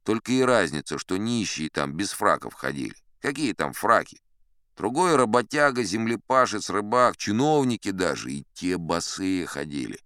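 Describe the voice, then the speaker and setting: An actor playing a seasoned, self-assured sea captain who tells tall tales in a wry, conversational way, as theatrical audio drama narration. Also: male voice, sits low, sing-song, moderate pace, fairly bright tone, smooth, dry recording, studio quality, heavily acted. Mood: wry, reflective. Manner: conversational, wry raconteur with rhetorical asides. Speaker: audiobook performer in character as storyteller.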